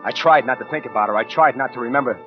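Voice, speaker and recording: An actor playing a brooding, tender-hearted drifter who narrates his past in a halting, plain-spoken 1940s radio drama style. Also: mid-range voice, lightly acted. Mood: troubled, regretful. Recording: muffled vintage 1940s radio, music behind.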